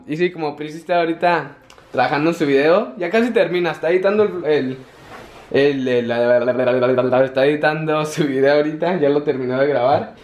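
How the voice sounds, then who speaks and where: A young man, talking to a handheld camera in his apartment in an upbeat, slangy way. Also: a little high. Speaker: vlogger. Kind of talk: casual vlog chat. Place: small apartment indoors. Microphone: handheld camera at arm's length.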